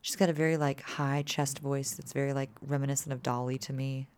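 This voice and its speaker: A woman in her thirties with a low voice, chatting casually as a musician guest and filling her talk with "like". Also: little pitch movement, brisk, a little mellow, smooth, dry recording. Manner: chatty, peppered with "like" fillers. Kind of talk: casual interview chat.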